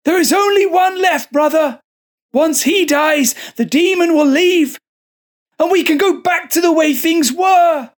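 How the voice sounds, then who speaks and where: A reader voicing a scrawny, fanatical male monk in a storybook horror tale, with a high, strained and frantic delivery that rises to shrieks. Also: sing-song, takes it slowly, slightly rough, full character voice. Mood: shrieking.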